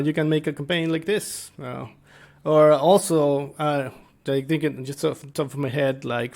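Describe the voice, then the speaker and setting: Halting man talking through game ideas. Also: fairly high pitch. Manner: halting, thinking aloud. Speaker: tabletop game master. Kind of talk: casual unscripted explanation to viewers.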